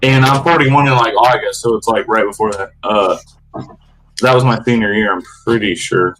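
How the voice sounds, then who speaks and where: A man's voice: lowish voice, normal speed, a crowd in the background, low-quality recording. A man in his early forties in casual, profane podcast chat, rambling loosely with lots of slang. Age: early 40s. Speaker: podcast co-host.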